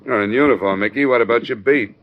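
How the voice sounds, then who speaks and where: A man's voice: mid-range voice, quick pace, slight background noise, natural voice. A man playing a wry, middle-aged American insurance investigator in a clipped, matter-of-fact old radio noir style. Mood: casual, concerned. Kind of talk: 1940s-50s radio noir acting and narration. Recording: dull old radio recording.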